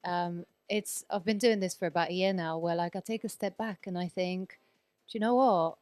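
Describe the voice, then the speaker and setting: A woman's voice: lowish voice, normal speed, darkish tone, no room sound. A woman in her thirties from Poland, raised in Sweden, talking as a guest DJ in a casual podcast interview, chatty and rambling, full of fillers and restarts.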